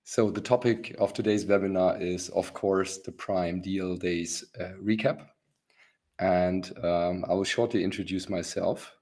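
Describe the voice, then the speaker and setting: A hoarse man hosting a business webinar, talking with many pauses, ums and restarts. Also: sits low, steady tone, a little mellow. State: rough, hoarse voice. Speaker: webinar host, company founder.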